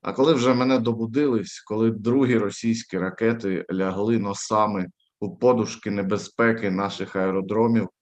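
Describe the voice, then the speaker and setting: Ukrainian guy with a low voice, poet reading his stuff at a live reading, ukrainian accent. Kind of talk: live poetry reading with spoken introductions.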